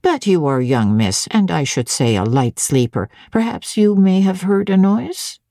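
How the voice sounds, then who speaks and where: A woman voicing a phlegmatic, guarded servant in an audiobook, composed and deliberate, probing with feigned indifference. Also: rumbling low, expressive, mellow, dry recording, studio quality, pitched below the performer's own voice, heavily acted. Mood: guarded, significant.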